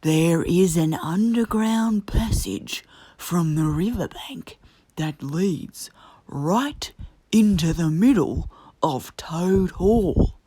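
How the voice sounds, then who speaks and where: A woman voicing a grave but encouraging old male badger from a children's storybook, speaking slowly and emphatically. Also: fairly low pitch, animated, warm tone, husky, dry recording, heavily acted. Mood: encouraging, secretive. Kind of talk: children's storybook character reading.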